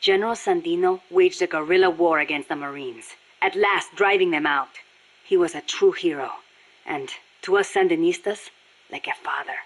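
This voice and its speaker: A woman's voice: fairly low pitch, moderate pace, close to an everyday voice, poor audio. A woman voicing a passionate, embittered Nicaraguan Sandinista guerrilla with a Spanish accent in a video game, speaking in a solemn, earnest way. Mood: solemn, proud. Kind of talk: scripted video game voice acting.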